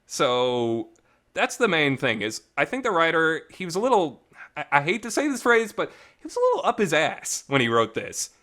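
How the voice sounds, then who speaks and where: A man with a slightly high voice talks off the cuff like a podcast reviewer. His pitch swings widely as he makes his points emphatically, in everyday language with mild swearing. The recording is a reverberant, makeshift home setup. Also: huge pitch swings, normal speed, sharp tone. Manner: colloquial, mildly profane, emphatic. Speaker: host of a visual novel review channel. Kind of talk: casual unscripted podcast-style review rant.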